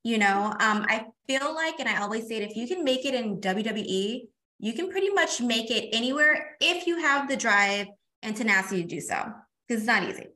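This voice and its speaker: A woman guest on a podcast, chatty and energetic, talking casually and calling the host "girl". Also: a little high, normal speed. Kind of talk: casual interview chat.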